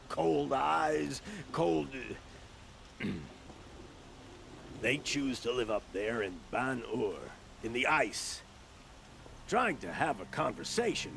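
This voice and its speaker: Creaky, shifty game-world opportunist. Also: male voice, mid-range voice, fairly bright tone, slight background noise, full character voice. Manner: hesitant, stammering, throat-clearing, sly salesman patter. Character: shifty, blustering male opportunist merchant-gambler, bargaining and flattering. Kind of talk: video game dialogue acting.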